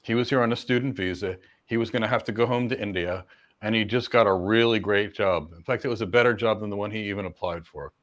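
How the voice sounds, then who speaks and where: A middle-aged man, a career coach, answering viewer questions in a casual live Q&A, talking confidently in long runs with repeated words and quick asides. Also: fairly low pitch, fairly bright tone. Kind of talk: live Q&A, casual explanatory talk.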